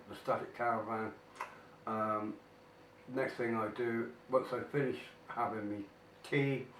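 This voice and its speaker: An older man, talking casually through weekly questions while he has a cold, with many ums and mm-hmms. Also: medium pitch. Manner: slow, chatty, frequent mm-hmms and ums. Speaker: vlogger. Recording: echoey room, mic at a distance, faint noise.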